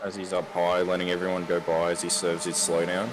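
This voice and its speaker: A man with a croaky voice giving colour commentary on a sim race. He is halting, with many ums and restarts. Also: deep voice, completely flat delivery, slight background noise.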